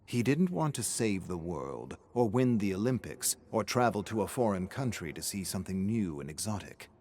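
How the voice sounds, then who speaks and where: A man with a low, deep voice narrates a scripted horror story. His delivery is measured and dramatic, with weighted pauses and line endings that fall toward a hush. There is noticeable ambient noise behind the voice.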